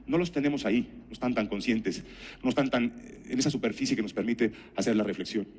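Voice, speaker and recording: A middle-aged man with a Mexican accent giving a conference talk, telling anecdotes and asking rhetorical questions in a didactic, deliberate way. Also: mid-range voice, a little mellow, slightly rough. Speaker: conference speaker and health professional.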